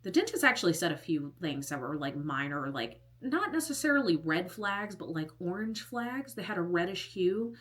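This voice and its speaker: Woman, thirties, storytime vlogger, rambling casual chat, filler-heavy, somewhat low-pitched. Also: sing-song, normal speed, few pauses, a bit bright. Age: 30s. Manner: chatty and rambling, heavy use of "like", with whispered asides.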